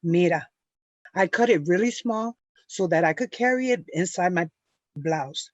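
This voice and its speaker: A chatty, daring girl whispers her secrets to a friend, as read at a table read. The voice is mid-pitched and sounds dull and lo-fi. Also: lively intonation, a little slow, lightly acted. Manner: gossipy, confiding. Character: chatty, rebellious young girl. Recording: dull lo-fi audio.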